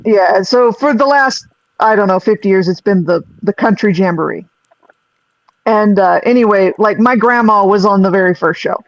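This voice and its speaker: Low-voiced woman rambling on a call. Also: moderate pace, dry recording, dull audio. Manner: rambling, restarts, self-deprecating laughs. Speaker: singer and sound technician. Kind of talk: casual group video-call chat.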